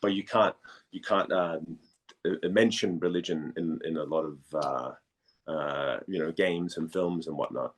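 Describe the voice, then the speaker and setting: A man in a casual interview, telling stories in a chatty, halting way and often rephrasing as he goes. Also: extremely low pitch. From Denmark. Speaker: voice actor and model, interview guest.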